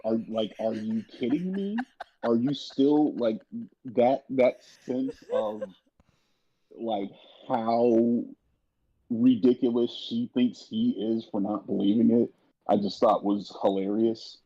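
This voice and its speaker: A man in a casual panel chat, talking haltingly with repeated and restarted phrases, over a slightly dull lo-fi connection. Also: medium pitch, breathy edge. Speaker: guest on an audio drama after-show.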